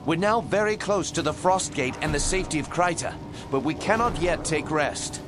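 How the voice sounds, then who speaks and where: An actor playing a noble, earnest warrior prince in a video game cutscene, speaking formally and with command. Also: male voice, a little high, lively intonation, normal speed, over music, close to an everyday voice. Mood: earnest, resolute.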